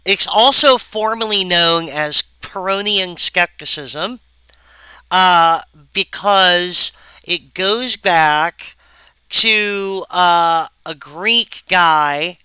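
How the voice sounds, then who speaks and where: A woman giving a recorded philosophy lecture, halting and full of wry asides to the viewer. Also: lowish voice, bright, forceful tone, smooth, no room sound, poor audio.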